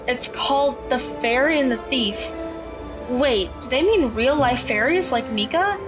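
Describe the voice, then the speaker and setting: A woman's voice: high voice, lively intonation, measured pace. An actress playing an earnest teenage girl who is new to magic, asking plain, curious questions in an audio drama. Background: background music.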